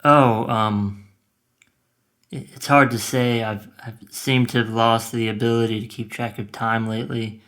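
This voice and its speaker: A man in his twenties playing a self-deprecating, depressed young man in a naturalistic audio drama, speaking haltingly. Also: mid-range voice, flat delivery, fairly bright tone. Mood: withdrawn, confused. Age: 20s. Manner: hesitant, halting, with filler words. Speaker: stand-up comedian and patient in a scripted podcast. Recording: roomy, echoing sound.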